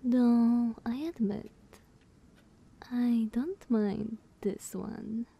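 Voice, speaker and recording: A woman voicing a playful, eager younger vampire sister in a teasing, breathy ASMR roleplay. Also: on the high side, steady tone, dark tone, full character voice. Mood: teasing amusement. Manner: slow, teasing, breathy half-whispers.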